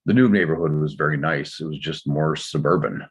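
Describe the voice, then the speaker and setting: A man playing a reserved, defensive older father in a drama table read, explaining himself in a measured way. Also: rumbling low, slightly rough, full character voice. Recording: dull, slightly muffled microphone sound.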